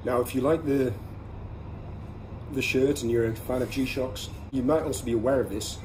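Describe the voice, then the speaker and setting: A man's voice: mid-range voice, normal speed. Man chatting over noticeable background noise. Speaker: podcast host reviewing everyday carry products. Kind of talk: casual product review monologue. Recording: clearly audible ambient background noise.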